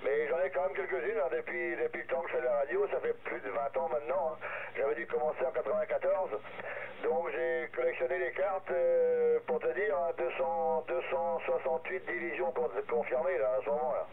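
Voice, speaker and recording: A man's voice: fairly high pitch, very animated, a little fast, gravelly, phone-quality sound, slight background noise. A middle-aged man chatting casually and hesitantly as a radio hobbyist, heard through a two-way radio transmission. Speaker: amateur radio operator.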